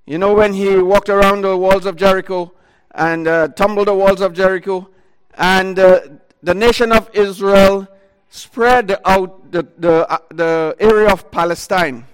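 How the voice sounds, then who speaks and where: A man preaching a sermon into a microphone before an audience, with a loud voice and talk broken by fillers and restarts. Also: sits high, steady tone, measured pace, smooth, big-room sound, low-quality recording. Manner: loud voice, talks in bursts with many fillers and restarts. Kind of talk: sermon retelling a Bible story.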